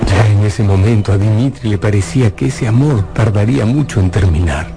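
A man playing the solemn narrator of a literary radio drama, speaking in a measured way. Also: lowish voice, lightly acted. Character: solemn male storyteller narrating a sad love story. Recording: loud music behind the voice. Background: loud music.

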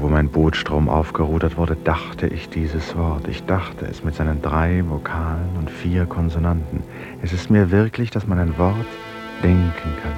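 An actor playing a haunted, introspective river traveller in a radio drama, speaking in deliberate, brooding, measured phrases. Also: male voice, rumbling low. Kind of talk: German radio drama interior monologue.

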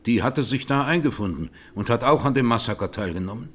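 An actor playing a formal, matter-of-fact judge questioning a witness in a radio courtroom drama. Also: male voice, mid-range voice, expressive, fairly quick, natural voice. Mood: formal, inquiring.

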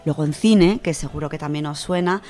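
A woman from co-hosting a radio show, chatting casually and reading a story, animated, with many fillers. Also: sits low, a little fast, over loud music. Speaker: radio co-host presenting a literature section.

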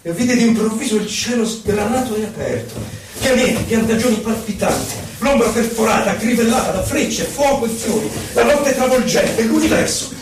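A man's voice: high-pitched, lively intonation, normal speed, background noise. A man giving a solemn, theatrical poetry recital for radio, stretching out his phrases dramatically. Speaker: poetry performer. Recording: echoey distant recital under loud music. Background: loud music.

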